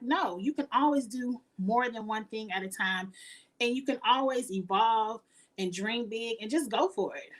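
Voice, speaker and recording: A woman, chatting casually with her viewers as a YouTuber, laughing often and sounding earnest and encouraging. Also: a bit bright. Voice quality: high-pitched voice. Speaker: YouTuber answering live chat.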